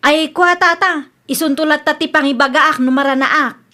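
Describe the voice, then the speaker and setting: A high, sharp-voiced young Ilocano housewife, acted by a woman, brash and evasive. She brushes people off defensively in a radio comedy drama. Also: moderate pace. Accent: Ilocano Filipino. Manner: defensive, dismissive.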